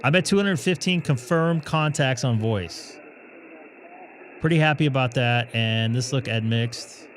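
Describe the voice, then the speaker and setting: A man hosting a ham radio live stream, chatting and thinking aloud as he operates, his voice going hoarse. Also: medium pitch, a little slow, slight background noise. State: losing his voice.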